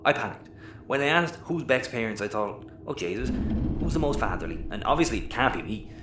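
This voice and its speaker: An actor playing an exasperated, sardonic Irish rogue space captain in a sci-fi audio comedy. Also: male voice, medium pitch, big pitch swings, brisk, roomy sound, background noise, close to an everyday voice. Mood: defensive.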